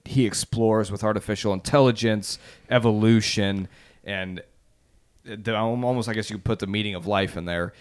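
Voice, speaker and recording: A man's voice: on the low side, fairly even intonation, moderate pace, smooth. A man hosting a podcast in a casual, chatty style. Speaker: podcast host.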